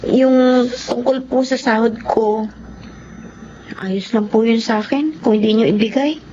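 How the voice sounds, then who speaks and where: Radio drama role: actress as a gentle, respectful young female worker, fairly high voice, dull audio with faint noise. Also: a little slow, natural voice. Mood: gentle, earnest. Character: humble, forgiving young woman, former eatery worker. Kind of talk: Filipino radio drama acting.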